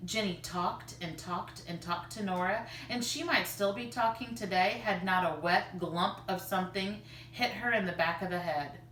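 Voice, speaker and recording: A bright-voiced woman reads a picture book aloud with expressive delivery. She sounds a little distant from the microphone, in an echoey room. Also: medium pitch, moderate pace. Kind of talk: expressive children's read-aloud. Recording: roomy, distant mic, faint noise.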